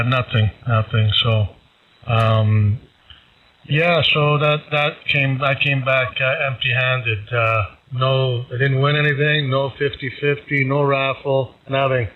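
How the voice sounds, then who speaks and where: A man's voice: medium pitch, narrow, tinny audio. A man chatting casually as an amateur radio operator, in a hesitant, rambling, easygoing manner. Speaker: amateur radio operator hosting a live stream.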